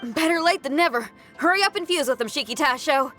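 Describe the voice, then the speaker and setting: A woman's voice: high-pitched, expressive, a little fast, pushed and bright, soft background music. A woman voicing a bold, spirited young female street musician in a game, casual and direct in how she talks. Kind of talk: video game cutscene dialogue.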